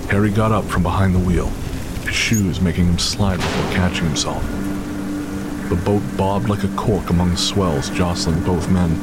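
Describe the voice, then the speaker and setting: A man's voice: deep voice, steady tone, moderate pace. A man narrating a scripted audio drama in a dramatic storytelling style. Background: loud music with rain and thunder.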